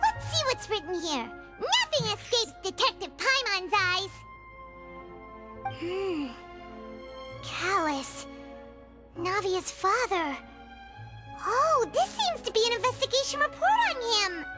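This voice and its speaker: Squeaky little fairy companion. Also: female voice, sing-song, slow, long pauses, exaggerated voice. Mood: inquisitive. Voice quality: squeaky, childlike. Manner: exclamatory, theatrical, refers to self in third person. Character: tiny childlike fairy companion, excitable, earnest, self-important. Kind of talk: video game voice acting. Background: music.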